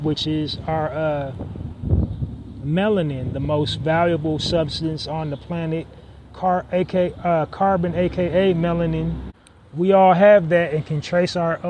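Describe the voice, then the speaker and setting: Man, podcast host, slightly high voice, rambling with lots of fillers, faint street noise. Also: warm tone. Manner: rambling, many fillers and restarts. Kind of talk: casual solo podcast monologue.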